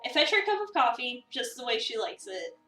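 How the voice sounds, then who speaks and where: A woman's voice: fairly high pitch, big pitch swings, a little fast, pushed and bright. A woman doing a playful, theatrical dramatic reading of a visual novel as a streamer. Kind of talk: dramatic reading with casual commentary. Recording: roomy, distant mic, music behind.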